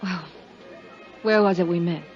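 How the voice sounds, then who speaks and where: An actress playing a polite, hesitant widow, reserved with a stranger, in a 1950s radio drama. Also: female voice, lowish voice, a little slow, lightly acted. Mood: guarded. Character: polite, guarded widow in a bar. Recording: dull 1950s radio recording, music behind.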